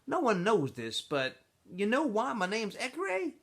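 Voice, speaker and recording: Man acting a teenage boy, small gang leader, pushy, coaxing, raised lively pitch, storybook character. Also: unhurried, heavily acted. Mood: wheedling, self-justifying.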